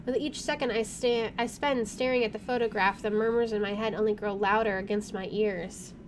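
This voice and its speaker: A woman hosting a gaming video, reading visual novel narration aloud in an expressive way. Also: medium pitch, a little fast, slight background noise. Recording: faint background game ambience.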